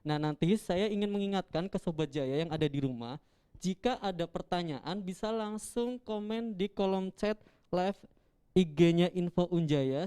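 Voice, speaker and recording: A man's voice: on the high side, warm tone, clean tone. A young man hosting a live-streamed campus talk show, chatty and joking, laughing often.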